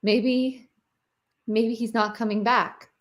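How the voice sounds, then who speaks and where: A woman's voice: on the high side, fairly even intonation, slow pace. A woman playing an anxious, faintly hopeful survivor in a live table read of a horror script. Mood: anxiously hopeful.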